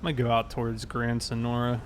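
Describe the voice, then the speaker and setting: A man's voice: medium pitch, monotone, fast talker. An American man chatting casually on a livestream while roleplaying as a police officer, in a laid-back way. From South Carolina, United States. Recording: clear background noise, vehicle sounds.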